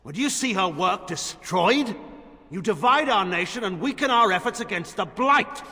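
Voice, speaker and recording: 홀에 울리는 위압적인 중년 남성 장군. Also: male voice, sits high, expressive, normal speed, pushed and bright, heavily acted. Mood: grave, urging. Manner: formal, cutting, oratorical. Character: stern, commanding middle-aged male regent and general, imperious. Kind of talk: dramatic fantasy game cutscene acting. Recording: long reverberant hall echo.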